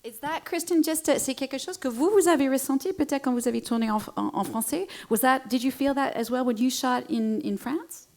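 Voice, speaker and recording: A woman's voice: sits high, fairly quick, hardly any pauses, darkish tone. A woman moderating and interpreting at a press conference, summarizing answers in the third person and switching between French and English.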